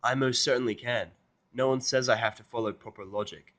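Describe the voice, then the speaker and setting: A man's voice: medium pitch, fairly even intonation, brisk, a bit bright, natural voice. A stubborn, irritable human guy played by a man with a dry, sarcastic streak. He swears freely and sounds like an everyday man in a fantasy audio story. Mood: rude, dry.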